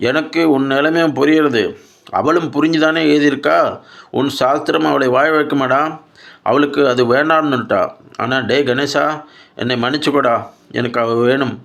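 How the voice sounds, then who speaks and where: A man's voice: mid-range voice, gravelly, a bit bright, pitched above the performer's own voice. A man voicing an anguished, defiant old widowed grandmother in a story, scolding and pleading with insistent questions.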